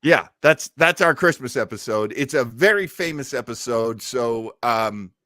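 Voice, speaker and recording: A man's voice: medium pitch, animated, unhurried, sharp tone, no room sound, clean studio sound. A man hosting a casual chat, talking in a jokey, theatrical and effusive way. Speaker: host.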